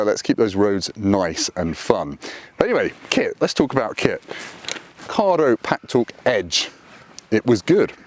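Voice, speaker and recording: A man's voice: darkish tone, no room sound. A man, chatting casually to camera from a roadside lay-by, dry and self-deprecating. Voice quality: deep voice. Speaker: motorcycle vlogger. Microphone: camera on tripod.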